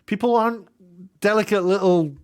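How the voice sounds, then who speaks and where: A man's voice: high-pitched, normal speed, clean tone. British male commentator, casual and opinionated, mocking delivery with an incredulous, exasperated edge. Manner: sarcastic, mocking. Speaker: YouTube commentator.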